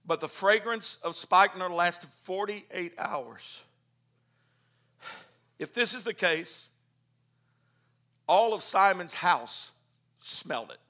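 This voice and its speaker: A man preaching a Pentecostal sermon, swinging from hushed, quick asides to shouted hallelujahs. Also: sits high, lively intonation. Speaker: pastor. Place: church.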